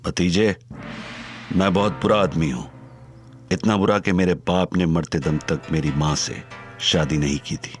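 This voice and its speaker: An actor playing a menacing, calm older male gangster in an audio drama, speaking in a cold, sardonic way. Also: low voice, measured pace, long pauses. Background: music score.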